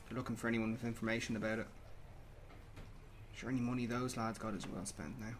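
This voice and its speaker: Irish actor as bereaved young dad, male, monotone and dejected, fixated, radio drama. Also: mid-range voice, fast talker, slight background noise, close to an everyday voice. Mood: distracted, fixated. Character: grieving young father, withdrawn, numb and fixated. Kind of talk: radio play acting.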